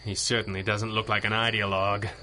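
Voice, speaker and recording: An actor playing an exhausted, erudite masked hero who speaks drily and formally in a radio drama. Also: male voice, fairly low pitch, level tone, fast talker, background noise, natural voice. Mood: strained, wry. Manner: dry, formal wording. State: weak, exhausted. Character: weakened, wry, erudite male masked hero, stubborn.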